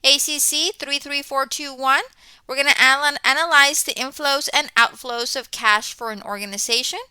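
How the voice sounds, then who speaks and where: A woman's voice: high voice, steady tone, moderate pace, bright, forceful tone, no room sound. A middle-aged woman from Texas, born in Mexico, speaking as a college instructor welcoming students in an enthusiastic, explanatory way. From born in Mexico, grew up in Texas, USA. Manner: enthusiastic, explanatory, often checking in with okay. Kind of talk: welcome announcement to students, semi-scripted talk.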